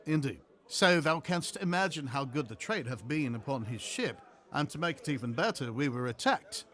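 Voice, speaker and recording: Male actor as weathered pirate-ship sailor: rough, boastful, flirtatious, weighty archaic delivery for audio drama. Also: mid-range voice, animated, fairly bright tone, close to an everyday voice. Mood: boastful, suggestive. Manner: deliberate, weighty, archaic speech. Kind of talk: audio play acting.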